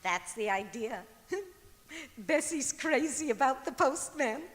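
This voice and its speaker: A woman's voice: high-pitched, animated, takes it slowly. A woman playing a scatterbrained, naive wife in an old-time radio comedy, cheerfully and earnestly illogical. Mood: bright, confidently illogical. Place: large auditorium stage, live performance. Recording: echoey auditorium stage recording.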